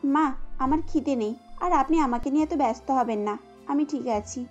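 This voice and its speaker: A woman voicing a gentle, modest young cartoon bride, speaking politely and with earnest feeling. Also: high voice, normal speed, lo-fi sound, faint music behind, lightly acted.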